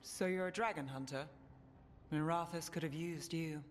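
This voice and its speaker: A woman's voice: low voice, moderate pace, a little hiss or hum, close to an everyday voice. A woman voicing a guarded, battle-worn but loyal fighter in a video game. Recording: long hall reverb, music behind.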